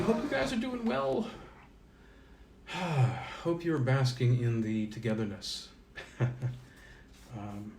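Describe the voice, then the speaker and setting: A man's voice: medium pitch, a little mellow, echoey room, recorded from a distance, a little hiss or hum. A man speaking as an informal storyteller, reading and introducing old tales.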